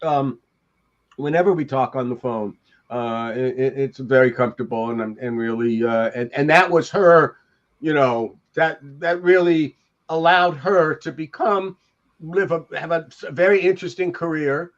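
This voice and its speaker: An older man with a notably high voice reminisces casually on a panel. He talks in a halting, rambling way. The sound is dull and lo-fi, like a home video call. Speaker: podcast panelist, TV industry veteran.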